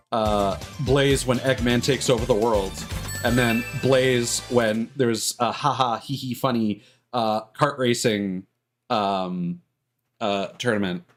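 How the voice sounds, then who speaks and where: Male game streamer, lower-pitched voice, casual and rambling chat to viewers, halting as he thinks aloud, prominent game music behind. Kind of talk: casual live game commentary, chatting to viewers. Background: video game music.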